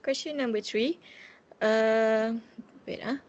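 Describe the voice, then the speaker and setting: A young woman on a video call, softly reading out interview questions with small slips. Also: fairly high pitch, takes it slowly, dull audio. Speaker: student interviewer.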